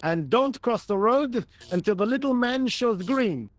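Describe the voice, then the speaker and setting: High-pitched, earnest French police sidekick. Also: male voice, animated, a little slow, no echo. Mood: dutiful, earnest. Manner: deferential, earnest, literal-minded. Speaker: police sergeant, assistant. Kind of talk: video game voice acting.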